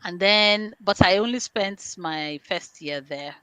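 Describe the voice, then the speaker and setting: A woman's voice: medium pitch, slow pace, fairly bright tone, clear, resonant tone, dry recording. A woman with a Nigerian accent answering as an interview guest, explaining things conversationally in simple terms. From born in London, grew up in Nigeria. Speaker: interview guest, information governance specialist.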